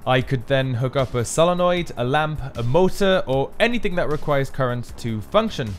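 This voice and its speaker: Male maker, mid-pitched, scripted explainer narration for a build video, music underneath. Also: animated, moderate pace.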